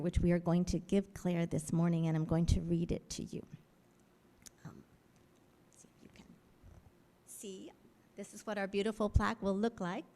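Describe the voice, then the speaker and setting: A woman with a mellow, warm voice makes a formal announcement in a large sanctuary, reading at an even, measured pace. The sound rings with a long hall reverb. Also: mid-range voice. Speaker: church speaker dedicating a piano. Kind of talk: formal announcement in a worship service.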